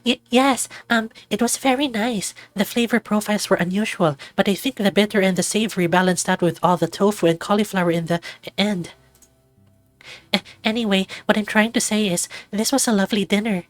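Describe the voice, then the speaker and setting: A man's voice: sits high, steady tone, brisk, dry recording, faint music behind, pitched above the performer's own voice, natural voice. A man voicing a shy, sweet, anxious young female moth witch who speaks politely in hesitant stammers. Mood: nervously polite.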